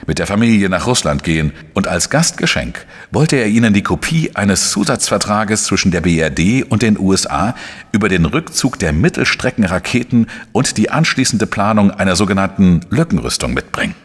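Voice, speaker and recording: An actor playing a dry, probing investigator who narrates a crime radio drama in a measured way. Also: male voice, lowish voice, sing-song, breathy edge. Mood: measured narrating. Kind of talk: scripted first-person crime narration and dialogue.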